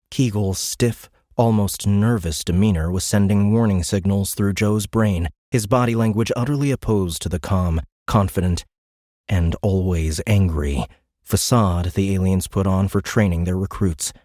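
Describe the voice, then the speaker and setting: A man's voice: low-pitched, mellow, dry recording, clean studio sound. A man reading scripted science fiction narration for an audiobook in a dramatic storytelling style.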